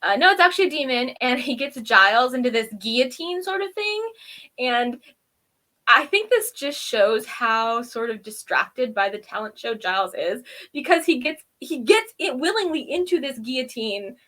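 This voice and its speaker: Bright, high-voiced, giggly woman chatting. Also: moderate pace. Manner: giggly, animated, acts out imagined reactions. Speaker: co-host of a TV review show. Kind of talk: casual chatty episode recap.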